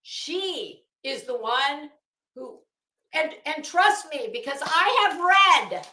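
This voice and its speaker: A woman's voice: sits high, expressive, slow, pushed and bright. A woman hosting a livestream, giving a theatrical, sarcastic reading with off-the-cuff commentary. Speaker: livestream host. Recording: echoey, roomy sound.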